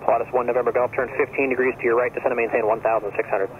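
Casting: male controller rattling off instructions very fast, mid pitch, through thin aviation radio. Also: little pitch movement. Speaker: air traffic controller. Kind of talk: rapid clipped radio instructions. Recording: narrow radio with clear aircraft noise.

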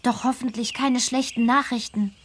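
Woman acting teenage horse girl, high-pitched, caring, anxious, emotive, game dialogue. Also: fairly even intonation, moderate pace, slight background noise, natural voice. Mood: concerned. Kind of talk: scripted children's game dialogue.

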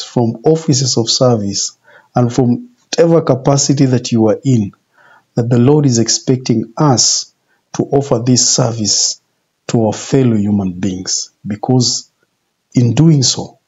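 A man in his forties giving an informal sermon in a deliberate, repetitive, emphatic way. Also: medium pitch, darkish tone, slightly rough. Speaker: religious video presenter. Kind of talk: informal sermon, retelling scripture. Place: small indoor office. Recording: muffled, dull home video audio.

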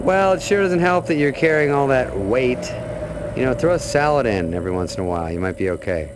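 Lively, wisecracking little dragonfly sidekick played by a man. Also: mid-range voice, a little fast, background noise, natural voice. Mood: teasing. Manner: sarcastic, mouthy, teasing. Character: small wisecracking male dragonfly sidekick, cocky and sarcastic. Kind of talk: comic video game cutscene acting. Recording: music behind the voice.

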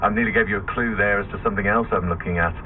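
A man's voice: lowish voice, steady tone, rapid-fire. A man, giving casual, self-deprecating answers as a radio interview guest. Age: 40s. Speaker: interview guest, endurance runner. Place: radio studio. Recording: distant, phone-like radio recording, faint noise.

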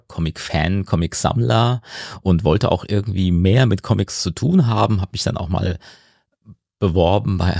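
Male host, deep gravelly voice, dry close sound, easygoing interviewer. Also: a little mellow, dry recording. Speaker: podcast host. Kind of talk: casual interview.

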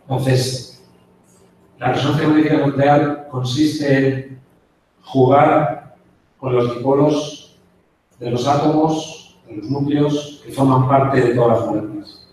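Male lecturer, 60s, Castilian Spain accent, even delivery with pauses, very reverberant auditorium recording. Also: medium pitch. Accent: Spanish from Spain. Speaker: guest lecturer, chemist. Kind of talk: conference lecture. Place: university auditorium, indoors. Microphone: handheld mic, picked up by distant camera. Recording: echoey hall from far off, faint noise.

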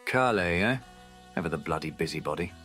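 Man acting gruff half-wolf warrior, low voice, solemn and courteous, slightly dim, fantasy comic dub, music underneath. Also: animated, a little fast, sharp tone. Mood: stern, businesslike.

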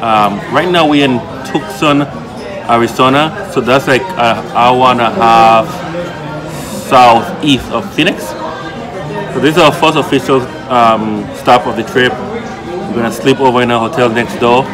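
A man chatting casually to viewers as a travel vlogger in a low-key, conversational way. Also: measured pace, a crowd in the background. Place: inside a restaurant. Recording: music playing behind the voice. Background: music.

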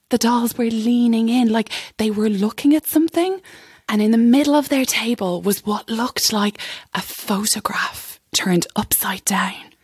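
A woman's voice: fairly high pitch, darkish tone, breathy edge, no room sound, clean studio sound. An actress playing a nervy young chorus girl telling a ghost story in an audio drama, chatty and suspenseful. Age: young adult.

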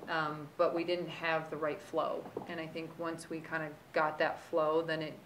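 Woman, thirties, head coach, press conference, table mic, low voice, flat delivery, faint rumble. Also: normal speed, low-quality recording. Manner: steady, reflective, frequent restarts. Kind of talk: post-game press conference answers. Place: press conference room with sponsor backdrop. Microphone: table microphone.

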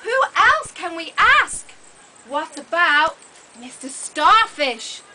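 Casting: woman storyteller for young kids, bright forceful voice, unhurried simple phrasing, live camera recording with background noise. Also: very high voice, very animated. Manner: exclamatory, dramatic gasps, simple short phrases for young children. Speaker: puppet show narrator. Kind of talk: live children's storytelling with audience questions. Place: open-sided thatched hall with audience of children. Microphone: camera microphone from audience. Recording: noisy open-air hall recording.

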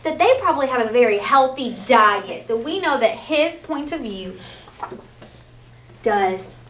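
A woman teaching young children in an interactive read-aloud, animated and often prompting them with questions and check-ins. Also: on the high side, measured pace. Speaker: elementary school teacher. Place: school classroom, children seated on the floor. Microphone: distant camera. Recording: roomy, distant, faint classroom noise.

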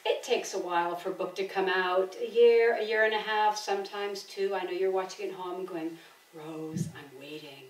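A woman's voice: mid-range voice, a little fast, hardly any pauses. Older female event host, rambling and enthusiastic, roomy stage sound, slightly off-mic. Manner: rambling, enthusiastic, animated gestures. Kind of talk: informal stage introduction. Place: on a theatre stage. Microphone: gooseneck lectern microphone. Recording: roomy theatre, distant from mic.

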